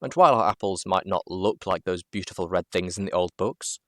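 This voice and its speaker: Low-voiced man reading a story expressively. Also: a little fast, no echo. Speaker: amateur story reader. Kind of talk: expressive first-person fan fiction narration.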